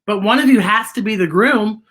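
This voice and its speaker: A fussy storybook beetle, acted out by a woman storyteller, baffled and insistent. The voice is raised and bright, always questioning. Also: mid-range voice, normal speed, pitched above the performer's own voice, close to an everyday voice. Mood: puzzled, fussy. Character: fussy, baffled beetle fretting over wedding customs.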